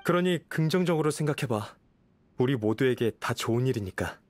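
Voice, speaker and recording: An actor playing a courteous young noble official in a game, composed and reassuring, speaking in a measured, formal way. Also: male voice, mid-range voice, expressive, a little mellow, no echo, natural voice. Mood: calm, persuasive. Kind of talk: game voice acting. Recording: music behind the voice.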